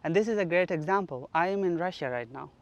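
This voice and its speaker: A man from Pakistan giving an earnest, reflective spoken teaching at home, his sentences often trailing off softly. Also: sits high, expressive, fast. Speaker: spiritual teacher. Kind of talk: solo spoken teaching on manifestation. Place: flat.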